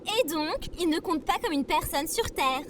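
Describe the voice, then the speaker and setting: A woman's voice: extremely high pitch, expressive, normal speed, background noise. A woman voicing a cheerful, naive female robot in an audio comedy, bubbly and theatrical. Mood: indignant, stubborn. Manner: bubbly, theatrical, formal address. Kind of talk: audio fiction comedy acting.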